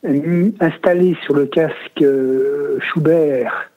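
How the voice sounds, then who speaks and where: A man's voice: a little high, steady tone, slow pace. A man giving casual feedback as a customer, explaining in a hesitant, repetitive, roundabout way. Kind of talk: casual spoken phone feedback. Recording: tinny, narrow phone line.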